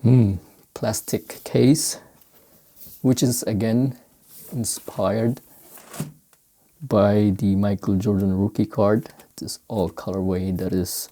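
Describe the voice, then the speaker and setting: A man from the Philippines with a Filipino accent, speaking non-native English in casual, halting unboxing commentary as he searches for words. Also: fairly low pitch, level tone, warm tone. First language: Filipino language. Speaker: sneaker unboxing vlogger. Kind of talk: casual unscripted product commentary.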